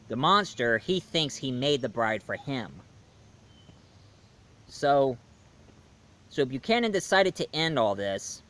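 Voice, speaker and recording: A man's voice: fairly high pitch, fairly bright tone, clear, resonant tone. A man reviewing a movie in a casual, rambling way, with fillers and restarts. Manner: rambling, loose, with fillers and self-corrections.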